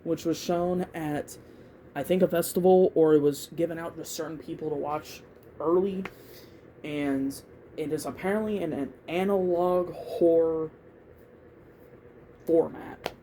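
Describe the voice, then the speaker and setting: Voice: medium pitch, fairly even intonation, unhurried, pauses often, mellow, a little hiss or hum, rough recording. A vlogger of unclear gender rambling casually through opinions, thinking aloud and repeating words in a hesitant way. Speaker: YouTube video creator giving opinions.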